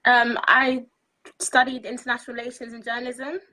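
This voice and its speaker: Bright-voiced young woman on a call. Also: a little high, little pitch movement, rough recording. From London, UK. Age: 20s. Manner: measured, frequent ums. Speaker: interview guest. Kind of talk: answering interview questions. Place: indoor room. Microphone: video call.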